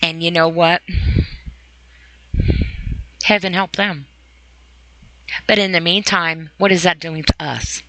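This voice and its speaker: A woman's voice: lowish voice, steady tone, slow pace, pauses often, sharp tone, rough recording. A woman hosting an informal, opinionated podcast monologue, speaking emphatically and repeating phrases for stress. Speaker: podcast host.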